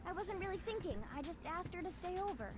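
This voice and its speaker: A woman's voice: extremely high pitch, fast. A woman playing a hesitant young teenage schoolgirl witness in an amateur anime game dub. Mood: flustered. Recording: music behind the voice.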